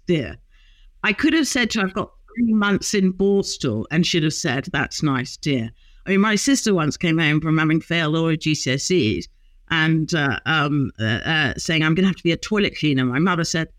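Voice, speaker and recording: A woman in her fifties, a guest lawyer telling humorous stories in a relaxed interview. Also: low-pitched, dry recording. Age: 50s. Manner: lively, humorous storyteller. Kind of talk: casual interview chat.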